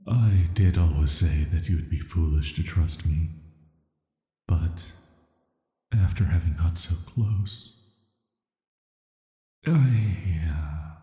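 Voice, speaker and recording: Man voicing a slow, deep, banished cursed villain. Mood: resigned, guarded. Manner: flat, hesitant, soft-spoken, measured. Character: banished ancient cursed villain, sealed away, softly menacing and resigned. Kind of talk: soft atmospheric audio roleplay for sleep. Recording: roomy echo with long reverb.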